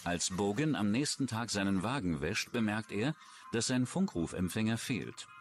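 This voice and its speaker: A man's voice: on the low side, animated, moderate pace. A man reading scripted true-crime narration as a documentary narrator.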